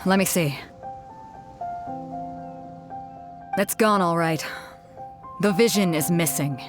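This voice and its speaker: A woman's voice: fairly low pitch, normal speed, natural voice. A woman playing a bold, commanding female sea captain in a video game, with a theatrical delivery. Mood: grim, indignant. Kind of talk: scripted video game dialogue. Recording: music under the voice. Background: game music.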